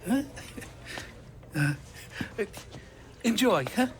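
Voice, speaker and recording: An actor playing a fussing, flattering Italian restaurateur with an Italian accent in a video game, eager to please. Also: male voice, high-pitched, extremely slow, background noise, exaggerated voice. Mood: gracious, flattering. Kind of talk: cinematic video game acting.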